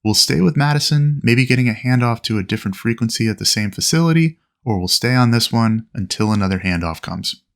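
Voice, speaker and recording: A man's voice: medium pitch, lively intonation, a little fast, hardly any pauses. A man narrating a flight lesson as an instructor, explaining procedures methodically in an instructional style. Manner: clear, methodical explaining with aviation radio phraseology.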